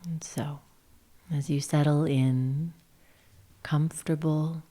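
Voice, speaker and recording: A woman guiding a meditation session in a gentle, soothing, measured manner, her phrases often trailing off into breathy whispers. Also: deep voice, steady tone. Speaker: yoga nidra guide, session host. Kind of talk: guided meditation narration.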